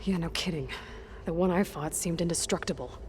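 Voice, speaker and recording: Low-voiced, direct young huntress played by a woman. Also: brisk, darkish tone, slight background noise, close to an everyday voice. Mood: wry, curious. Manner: direct, questioning, conversational. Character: young female hunter, inquisitive, determined, plain-spoken. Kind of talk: scripted video game dialogue.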